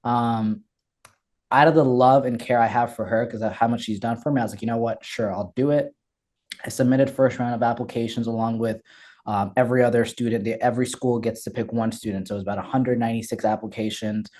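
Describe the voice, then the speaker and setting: A young man from Ethiopia, a guest telling his story in a candid, energetic way with frequent fillers and restarts. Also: mid-range voice, brisk. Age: young adult. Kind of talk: casual interview storytelling.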